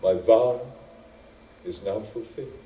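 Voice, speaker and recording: A man playing a stern, noble epic prince and husband, speaking in grave, measured, halting phrases. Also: fairly low pitch, mellow, roomy sound, recorded from a distance, close to an everyday voice, rough recording. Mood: grave, detached.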